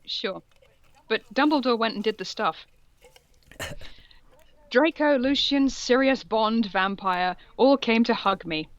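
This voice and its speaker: A woman with a fairly high voice reads fan fiction aloud on a podcast. She plays it up with mock drama and steps out of the text for amused comments. The sound is dry, close and a little dull and lo-fi. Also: takes it slowly, pauses often, dry recording. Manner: mock-dramatic read-aloud, breaking off for asides. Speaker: podcast co-host.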